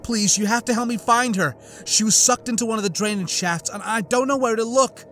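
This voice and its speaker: A man reading an audiobook, voicing a wary young woman who speaks quickly with desperate urgency. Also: sits high, expressive, soft background music, pitched above the performer's own voice. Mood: urgent.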